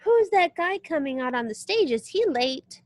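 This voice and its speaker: A woman's voice: high-pitched, very animated, moderate pace. A woman voicing an eager, naive young storybook character who is new to the symphony and full of wide-eyed questions. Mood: curious.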